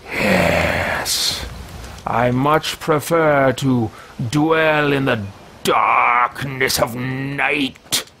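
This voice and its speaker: A man voicing an arrogant wizard mercenary who talks to himself, in a pompous, theatrical, drawn-out manner while playing a game. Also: medium pitch, bright, forceful tone, slight background noise, heavily acted. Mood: musing. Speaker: let's play roleplayer. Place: small room with bookshelves, indoors. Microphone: headset mic at a desk webcam.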